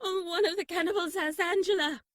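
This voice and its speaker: A woman's voice: very high-pitched, fairly even intonation, fairly quick, heavy background noise. A woman playing a caring, earnest nun in an audio drama, soothing and insistent. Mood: worried. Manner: soothing, earnest, exasperated at times.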